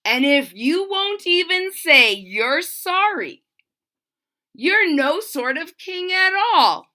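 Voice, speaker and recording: A woman's voice: very high-pitched, very animated, takes it slowly, pushed and bright, pitched above the performer's own voice, heavily acted. A woman voicing a bold young boy in a storybook, scolding in an emphatic way. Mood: stern.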